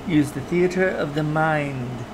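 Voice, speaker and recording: A man's voice: fairly high pitch, a little slow. Man hosting, with noisy background hum. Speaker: host introducing old radio episodes. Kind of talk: plain spoken introduction. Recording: clear background hum and noise.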